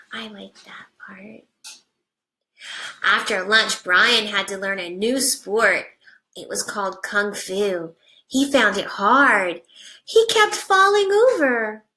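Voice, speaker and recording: A woman teacher reading a picture book aloud to young children, with simple deliberate phrasing and hushed asides. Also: medium pitch, expressive. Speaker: first grade teacher.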